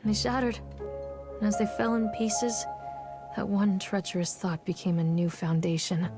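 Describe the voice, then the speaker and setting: A woman's voice: mid-range voice, flat delivery, moderate pace, music in the background, close to an everyday voice. An actress playing a troubled, guilt-ridden mother, delivering a dramatic scripted monologue in a brooding, intense, confessional manner for a video game. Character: troubled, guilt-ridden mother searching for her lost son. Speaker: narrator and main character of a video game.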